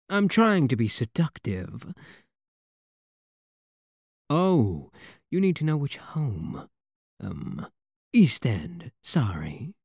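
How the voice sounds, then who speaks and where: A man plays a suave demon prince in a romantic ASMR drama, speaking low and unhurried in a close, dry sound. His delivery is courtly and teasing, swinging widely in pitch as he flirts with mock menace. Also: darkish tone, slightly rough, no room sound, heavily acted. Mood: playful, seductive.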